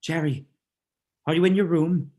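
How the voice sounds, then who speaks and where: A student actor playing an earnest, protective, suspicious gentleman in a melodramatic vintage radio mystery. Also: fairly high pitch, steady tone, moderate pace, natural voice. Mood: tense, wary.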